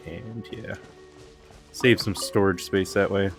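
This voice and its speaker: A very deep-voiced man chatting casually on a game stream. He comments loosely as he plays, with music clearly audible behind him. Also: fairly even intonation, slow.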